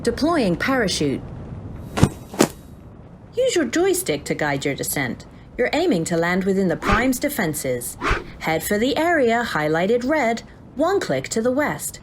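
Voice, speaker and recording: A woman playing a calm, procedural AI assistant that guides a soldier through combat in a sci-fi audio drama. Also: on the high side, a little slow, background noise. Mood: brisk, helpful. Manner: clipped, procedural, instructive announcements.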